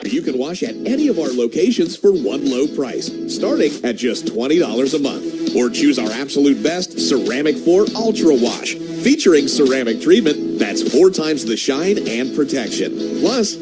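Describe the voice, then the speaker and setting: Animated male ad announcer over music. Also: mid-range voice, moderate pace, hardly any pauses. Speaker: commercial announcer. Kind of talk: scripted radio ad read. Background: loud music.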